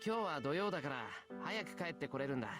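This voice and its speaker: A man's voice: fairly high pitch, lively intonation, very fast, lightly acted. A man voicing a dry, dependable teenage schoolboy in a Japanese anime visual novel, speaking bluntly and casually. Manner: blunt, offhand, casual speech. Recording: game dialogue over loud music.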